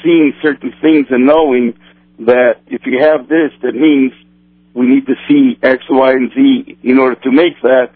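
A man from Brazil whose first language is Portuguese, a kosher supervisor answering interview questions in a halting way with many restarts. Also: medium pitch, fairly even intonation, dry recording. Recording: tinny phone line, dry.

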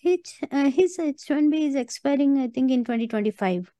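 A woman's voice: sits high, expressive, moderate pace, mellow, no echo. A woman calling in with questions, speaking in a hesitant, conversational way. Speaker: caller.

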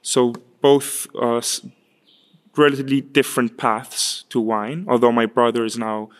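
A man in his twenties, raised in Chile, answering interview questions in a halting way, often repeating words. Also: mid-range voice, steady tone, clear, resonant tone. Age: 20s. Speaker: guest, winemaker's son. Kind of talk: casual interview.

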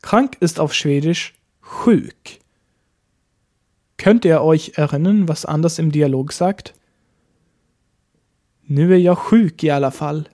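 Slow, mellow man teaching. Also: fairly high pitch. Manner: slow, explains step by step. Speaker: language teacher. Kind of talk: lesson narration.

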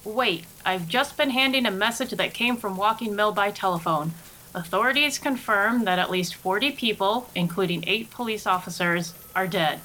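A woman playing a brisk, deadpan news anchor in a spoof of 1930s radio. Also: medium pitch, slight background noise, close to an everyday voice, rough recording. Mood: dry, grave. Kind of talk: scripted spoof radio newscast.

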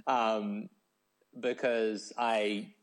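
A man's voice: lowish voice, flat delivery, drawn-out words. A man speaking as a guest novelist in a casual interview, rambling and self-deprecating, with hesitant starts. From Australia. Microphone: phone call.

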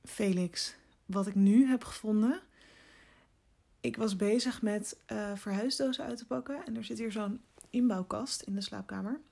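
A woman's voice: medium pitch, normal speed, gravelly, warm tone, close to an everyday voice. An actress playing a curious, chatty young woman reporter, speaking casually and hesitantly as if leaving voice messages in an audio drama. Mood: intrigued.

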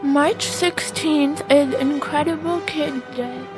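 A young woman on a podcast team, speaking in a careful, measured way as she reads or chats. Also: high voice, over loud music, over a noisy crowd, rough recording. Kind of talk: reading facts and casual chat.

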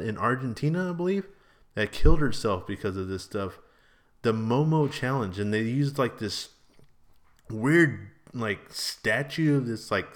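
A man in his early thirties hosting a casual, rambling solo podcast in a slangy, informal, exclamatory way. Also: medium pitch. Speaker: podcast host and vlogger.

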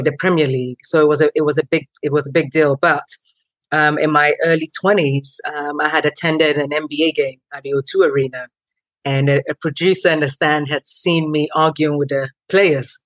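A woman in her thirties, born in Ghana, recounts her career as a podcast guest. She talks in lively bursts with plenty of ums and acts out the people she quotes. Her voice sounds dry, with no room echo. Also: low-pitched. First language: not English. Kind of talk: conversational interview.

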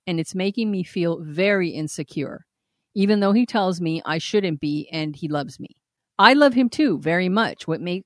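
A woman's voice: fairly low pitch, dry recording, studio quality. A woman co-hosting a podcast, reading a listener's email aloud and giving blunt, frank advice with frequent laughter and swearing. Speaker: podcast co-host.

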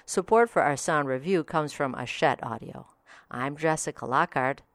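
A woman's voice: low-pitched, normal speed, no echo. A woman presenting a scripted audio review as a podcast host.

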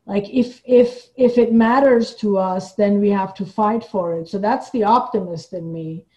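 A woman's voice: medium pitch, a little slow, a little mellow, poor audio. A woman who grew up partly in the Boston area, talking casually about politics in a reflective, informal way, as if thinking aloud. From Iran, partly raised in the Boston area. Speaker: podcast guest, playwright and artistic director.

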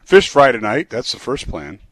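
A man with a gravelly, hoarse voice from a cold, chatting casually on the radio and reading the weather. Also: medium pitch, sing-song, normal speed, a bit bright, dry recording. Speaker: news and weather reader.

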